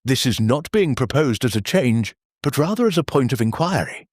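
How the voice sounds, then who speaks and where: An actor playing an earnest, formal church meeting chairman who speaks in a measured, bureaucratic deadpan. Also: medium pitch, dry recording, studio quality. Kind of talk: audio drama acting.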